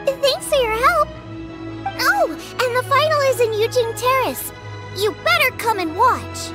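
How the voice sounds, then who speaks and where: A woman voicing a cheerful, earnest, energetic teenage girl chef with a girlish, eager voice in a video game. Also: extremely high pitch, a little slow. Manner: perky, eager. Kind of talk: video game cutscene acting. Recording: music playing behind the voice.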